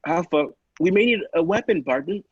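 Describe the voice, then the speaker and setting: A man's voice: a little high, expressive, fairly quick, husky, no echo, lo-fi sound. A man playing an anxious, cautious doctor in an old-style radio play, speaking with earnest worry. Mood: wary. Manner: worried, earnest.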